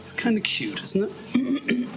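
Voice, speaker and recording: Irish man, sniffly, rambling treadmill podcast, wry asides, soft music behind. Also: extremely high pitch, very animated, slow pace. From Ireland. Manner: wandering, self-mocking asides, hums and clears throat. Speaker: podcast host. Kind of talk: rambling solo monologue while walking a treadmill. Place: home, on a treadmill. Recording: background music under voice.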